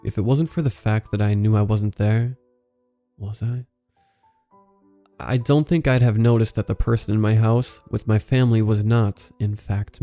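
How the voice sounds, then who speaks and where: A man narrating a scripted horror story in a measured, serious way. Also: fairly low pitch, mellow.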